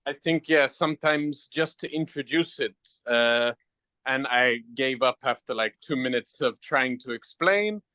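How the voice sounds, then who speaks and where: English guy, online teacher just chatting, bright voice, really dry sound. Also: mid-range voice, unhurried, no echo. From England. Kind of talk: casual chat.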